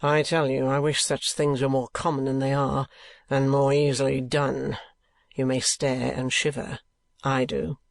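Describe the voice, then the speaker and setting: A man's voice: mid-range voice, little pitch movement, measured pace, gravelly, dry recording, clean studio sound. A man voicing a stern, cold male usurer with a harsh, commanding manner.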